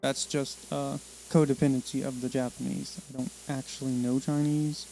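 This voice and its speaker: An American man from the Missouri, Oklahoma and Arkansas region talking casually and unscripted about himself, rambling haltingly in short fragments. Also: mid-range voice, flat delivery, creaky, dark tone, faint music behind, low-quality recording. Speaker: presenter introducing himself.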